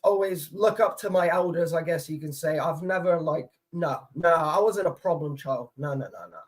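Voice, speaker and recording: Young man, high voice, laid-back, rambling, casual swearing. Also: normal speed. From Poland. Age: 20s. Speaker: guest. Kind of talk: casual chat.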